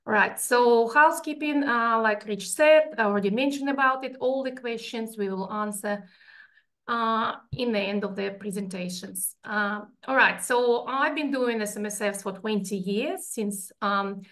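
A woman's voice: fairly high pitch, measured pace. A woman from Russia with a strong Russian accent, presenting a financial webinar over a video call and explaining each slide step by step. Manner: explanatory, steady, walking through slides.

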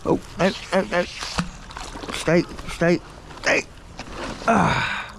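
Brief: vlogger, unhurried, a bit higher-pitched, body-cam sound, light outdoor hiss. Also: male voice, creaky. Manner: slow, relaxed, remarks on each find. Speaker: magnet fishing vlogger. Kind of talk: casual commentary while magnet fishing. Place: canal towpath outdoors. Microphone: body-worn action camera.

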